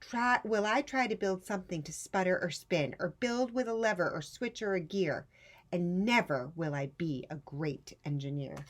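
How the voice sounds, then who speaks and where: A woman's voice: on the low side, animated, normal speed, slight background noise, natural voice. A woman reading a young, self-doubting girl inventor in a children's storybook, plaintive and dramatic. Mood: dismayed. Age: child, second grade.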